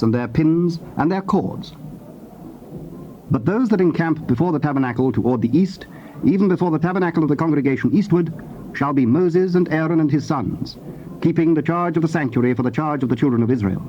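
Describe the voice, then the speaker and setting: A man narrates scripture formally and solemnly, in measured phrases. His voice sits a little high, on a dull cassette recording with faint noise behind it. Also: darkish tone. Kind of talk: scripted dramatized Bible narration. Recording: dull, noisy old cassette tape.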